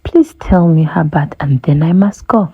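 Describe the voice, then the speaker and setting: A woman's voice: sits low, unhurried, breathy edge, dry recording, lo-fi sound, exaggerated voice. A woman voicing a nosy old female hornbill, a gossip who pries and exclaims, in a children's storybook. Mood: nosy surprise. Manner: prying, exclamatory.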